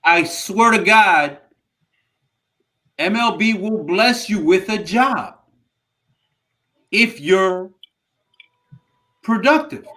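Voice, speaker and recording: A man's voice: sits high, expressive, extremely slow, long pauses, sharp tone. A man co-hosting a casual sports podcast, talking bluntly and emphatically with plenty of swearing. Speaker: podcast co-host.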